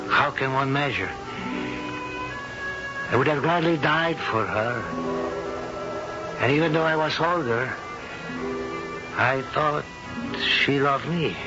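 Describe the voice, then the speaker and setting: An actor playing a proud, anguished older Spanish husband in a halting, melodramatic 1970s radio drama style. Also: male voice, medium pitch, close to an everyday voice. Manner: slow, halting, melodramatic delivery. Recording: dull old radio broadcast with music.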